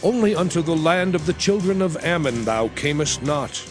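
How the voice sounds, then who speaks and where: A man's voice: on the high side, lively intonation, normal speed, music in the background, natural voice. An actor playing a commanding prophet who addresses his people in solemn, declamatory dramatized scripture. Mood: solemn, stern. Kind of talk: dramatized scripture oration, King James English.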